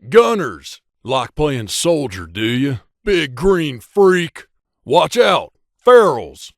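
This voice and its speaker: An actor playing a wry, gruff mercenary companion in a video game, speaking in a conversational, grumbling way. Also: male voice, a little high, sing-song, takes it slowly, no room sound, clean studio sound, full character voice. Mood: combative alert. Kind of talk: game character voice lines.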